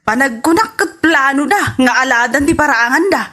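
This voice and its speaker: A fussy Ilocano gossip played by an actress in a comedy radio drama. She chatters to her friend in a very high-pitched voice. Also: lively intonation, normal speed, pushed and bright. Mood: gossipy.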